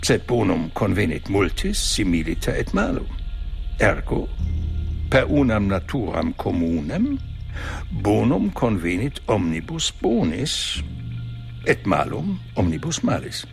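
A man's voice: fairly low pitch, very animated, measured pace, vocal fry, faint music behind, heavily acted. A man in a radio drama playing a learned cleric who lectures in a didactic way. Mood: lecturing. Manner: learned, didactic, quotes Latin. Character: scholarly male cleric, lofty and sermonizing. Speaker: churchman at a forum.

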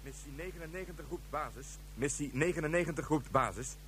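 A man's voice: fairly high pitch, fast talker, fairly bright tone, slight background noise, lightly acted. A man playing a dutiful military agent reporting in clipped phrases over a radio in a Dutch science fiction radio play.

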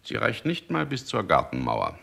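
An actor playing a callous, pompous court physician in a 1960s radio play, crisp, declamatory and detached. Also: male voice, fairly low pitch, wildly swooping pitch, moderate pace, bright, forceful tone, full character voice.

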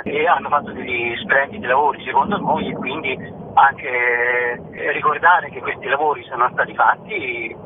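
A man's voice: medium pitch, breathy edge. A man talking as a theatre artist in a radio interview, speaking spontaneously and discursively, reformulating as he goes. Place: phone call to a radio show. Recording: noisy, tinny phone line.

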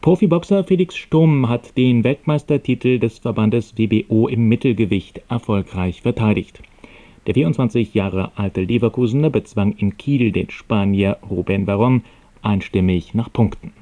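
A man presents the radio news in a low, mellow voice. His delivery is formal and measured, with a neutral tone that keeps to the script.

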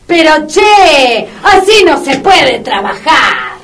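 A woman's voice: sits high, very animated, slow pace, fairly bright tone, a little hiss or hum, heavily acted. An Argentine actress playing a hot-tempered, fed-up woman dog trainer in a comic radio drama, scolding dramatically. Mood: exasperated, indignant. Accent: Argentine Rioplatense.

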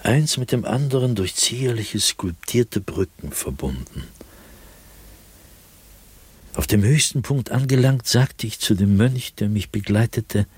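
A man playing an anguished, fearful, solitary diarist in a radio drama, speaking in a husky, brooding voice with sudden swells and hushed drops. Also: on the low side, animated, unhurried, hardly any pauses. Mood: awed. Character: anguished, fearful, solitary male diarist haunted by nightly dread. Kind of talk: German radio drama monologue, diary read dramatically.

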